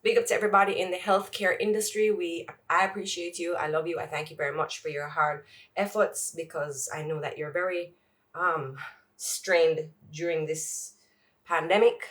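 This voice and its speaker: A woman's voice: fairly low pitch, fairly even intonation, normal speed, fairly bright tone. Sniffly woman vlogging from afar. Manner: rambling, joking, self-talk asides. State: sniffly, congested nose. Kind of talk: casual chatty vlog while doing makeup. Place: home closet. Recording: voice from far off the mic.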